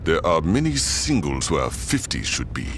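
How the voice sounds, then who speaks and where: An actor playing a calm, menacing male gang general in a video game, formal and measured. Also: a bass voice, a little hiss or hum, heavily acted. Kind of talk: video game cutscene acting.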